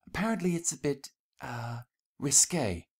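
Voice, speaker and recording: Man voicing an airy, genteel female unicorn, pitched high. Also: expressive, measured pace, husky. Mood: hushed, confiding. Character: elegant young female unicorn, refined socialite dressmaker. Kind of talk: storybook character voice in fan fiction reading.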